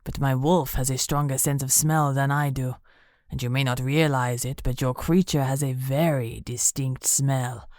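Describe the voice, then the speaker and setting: A woman's voice: fairly even intonation, normal speed, a little mellow, no echo, clean studio sound. Woman doing a purring werewolf villain. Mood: menacing. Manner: low purring menace. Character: menacing male werewolf captor, smooth and cruel.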